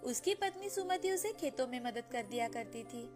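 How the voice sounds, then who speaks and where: A woman's voice: high voice, expressive, normal speed. A woman narrator reading a scripted storybook tale. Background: background music.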